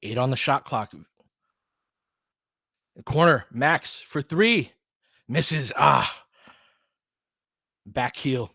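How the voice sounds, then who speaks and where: A man giving informal live basketball play-by-play as a partisan fan, speaking in terse, clipped phrases and getting excitable on big plays. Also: mid-range voice, very slow, pauses often, no echo, studio quality.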